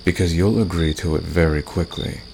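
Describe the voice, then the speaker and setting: A man playing a confident, flirtatious and secretly protective fantasy villain in an ASMR romance roleplay, gravelly and intimate, with a teasing, smug manner. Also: moderate pace. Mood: smug, seductive. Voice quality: very deep, gravelly. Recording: clear background noise, night cricket ambience.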